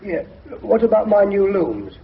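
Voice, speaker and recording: An actor playing a proud, indignant British mill manager, incredulous and emphatic, in a radio drama. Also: male voice, sits high, big pitch swings, measured pace, vocal fry, exaggerated voice. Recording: dull noisy radio recording.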